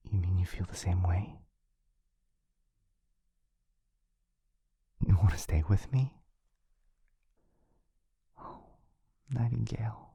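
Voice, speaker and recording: A man playing a tender, devoted vampire lover in an intimate romantic ASMR roleplay, speaking softly and haltingly with a breathy, often whispered voice. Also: sits low, no echo, heavily acted. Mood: awed, loving. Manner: soft, halting, earnest delivery.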